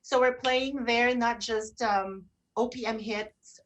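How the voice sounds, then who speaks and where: A woman's voice: on the high side, steady tone, slow pace, a bit bright. A woman, enthusiastic and cheery, bantering casually as co-host of a live online radio show.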